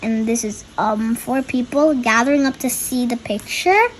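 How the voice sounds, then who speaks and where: Young girl, high voice, storytime read-aloud, explains pictures, faint background noise. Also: moderate pace, poor audio. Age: child. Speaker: young reader presenting a picture book.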